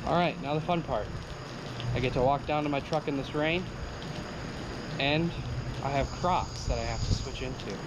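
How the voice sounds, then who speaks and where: Easygoing young farmer over loud wind. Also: male voice, medium pitch, normal speed, pauses often. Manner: easygoing, chatty, addresses viewers as guys. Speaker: dairy farmer vlogger. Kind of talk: casual vlog narration during chores. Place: farm barns, skid loader cab and outdoors in wind and rain. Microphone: action camera. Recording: loud wind and engine noise on camera mic. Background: loud wind, rain and machinery.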